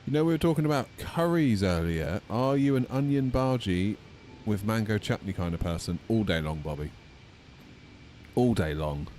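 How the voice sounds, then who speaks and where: A man with a croaky voice, chatting casually with viewers while streaming a flight sim from home. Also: fairly low pitch, moderate pace, a little hiss or hum. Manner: chatty and relaxed, addressing chat by name.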